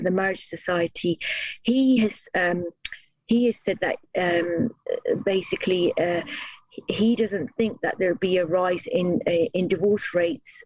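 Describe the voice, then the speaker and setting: A family law solicitor answering interview questions in a halting way, with many fillers and restarts. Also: medium pitch, no room sound. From United Kingdom. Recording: dull lo-fi dry sound.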